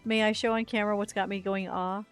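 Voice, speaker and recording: A woman's voice: medium pitch, little pitch movement, fast. A woman streaming a casual read-along, dropping playful asides as she goes. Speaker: streamer reading a visual novel aloud. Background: music.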